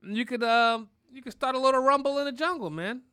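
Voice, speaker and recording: A man hosting a podcast, ranting in a loud, profane, rambling way. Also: very high voice, fast, clean tone, dry recording. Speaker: podcast host. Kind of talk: profane casual podcast rant.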